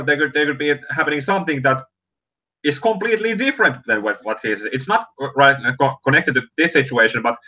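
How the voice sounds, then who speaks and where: Bright-voiced Finnish man, halting. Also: mid-range voice, poor audio. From Finland. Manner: halting, many restarts and fillers. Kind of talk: casual chat about films.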